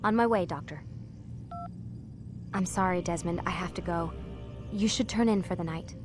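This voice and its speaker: A woman playing a hushed, earnest lab assistant. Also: on the low side, moderate pace, natural voice. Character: earnest young female lab assistant, guarded. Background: game score music.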